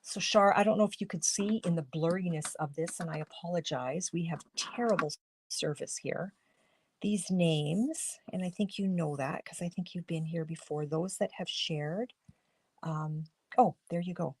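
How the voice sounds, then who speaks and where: A woman hosting a live card-making stream, chatting casually and thinking aloud as she colors, with a slightly hoarse, froggy voice. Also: fairly low pitch, moderate pace, darkish tone, slight background noise. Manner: chatty, thinks aloud while coloring, greets viewers by name. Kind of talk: casual live crafting demo talking to viewers.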